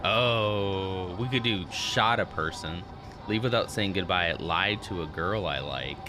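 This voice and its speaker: A man's voice: sits low, a little slow. A man streaming a game, joking and chatting as he plays, laughing often. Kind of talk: casual let's play commentary.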